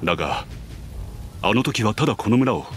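An actor playing a noble, humble young warrior in a video game, speaking earnestly and formally. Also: male voice, on the low side, lively intonation, normal speed, soft background music, natural voice. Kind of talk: video game cutscene voice acting.

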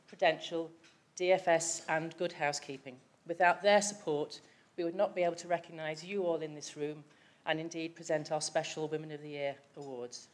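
A middle-aged woman chairing an awards event, giving a measured, formal prepared speech at a lectern in a large hall. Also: sits low. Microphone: lectern microphone.

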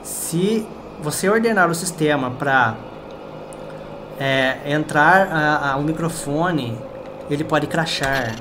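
A man with a Brazilian accent voicing a friendly, anxious game robot that speaks in a halting, pleading way. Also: fairly high pitch, background noise. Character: friendly male robot, anxious as its system fails. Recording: loud game music behind.

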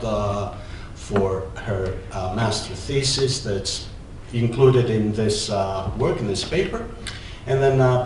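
A middle-aged man with a Greek accent giving a conference talk at a lectern, often pausing with "uh". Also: mid-range voice, darkish tone. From Greece. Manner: hesitant, many filler "uh"s. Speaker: conference presenter. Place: large lecture hall, at a lectern. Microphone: lectern mic, filmed by a far camera. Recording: very echoey hall, distant mic, faint hiss.

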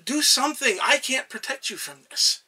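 A man voicing a small, devoted male shrine god who talks in an anxious, excitable, affectionate way. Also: extremely high pitch, moderate pace, breathy edge, pitched above the performer's own voice, exaggerated voice. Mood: urgent.

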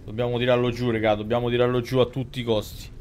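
A man's voice: mid-range voice, level tone, fast, darkish tone. A man in his thirties with a Roman accent giving slangy, exclamatory live commentary as a game streamer, talking to his chat and characters. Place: indoors at a streaming desk. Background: game music.

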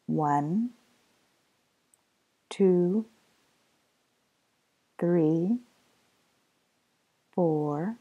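Slow, soft-spoken woman guiding meditation. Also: low-pitched. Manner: slow, soft instructions with drawn-out pauses. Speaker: yoga nidra teacher. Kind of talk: guided meditation.

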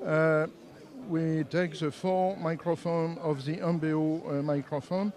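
A middle-aged Frenchman explains equipment at a trade show booth, speaking into a handheld microphone. His voice sits a little high, and his English is halting and full of "uh" fillers as he searches for words. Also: steady tone, a little mellow. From France. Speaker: company representative demoing products. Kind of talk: trade show product demo. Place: trade show booth, indoors, busy hall.

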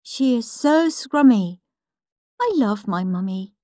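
A woman's voice: a little high, expressive, takes it slowly, warm tone. A woman voicing a smug, prim goody-goody little boy reading his diary in an earnest sing-song for a storybook.

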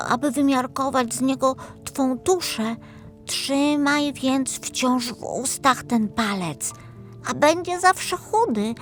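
A woman voicing a timid but clever little girl, speaking softly and carefully like a child in a fairy-tale audio drama. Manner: high, soft, careful childlike delivery.

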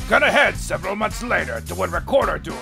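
A man's voice: sits high, animated, fast talker, gravelly, over music. A man hosting and narrating a scripted video intro in a playful, upbeat announcer manner. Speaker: host.

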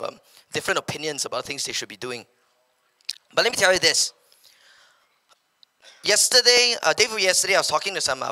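A young Malaysian man with a Malaysian English accent, giving an energetic motivational talk on stage in a large hall through a handheld mic. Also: on the high side. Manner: energetic, paces the stage, slips into local slang when quoting others. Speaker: keynote speaker. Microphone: handheld microphone.

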